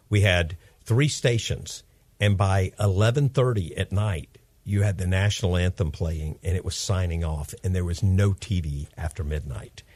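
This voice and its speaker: A man's voice: low-pitched, darkish tone, no echo. A man in his fifties hosting a radio show, talking in an upbeat, chatty, rambling way with his young son as guest. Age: 50s.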